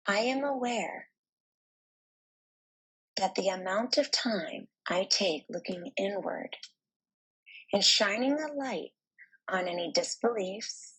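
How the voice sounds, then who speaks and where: Slow, breathy woman guiding meditation. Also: medium pitch, expressive, a bit bright, low-quality recording. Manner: slow, deliberate, soothing delivery with pauses. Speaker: meditation guide. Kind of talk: guided meditation narration.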